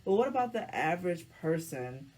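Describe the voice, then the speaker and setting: A woman hosting a casual live talk show, hoarse from the flu, with a deep voice and a chatty, slangy way of addressing her viewers. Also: big pitch swings, a little fast, a little mellow, poor audio. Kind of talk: casual live-stream chat.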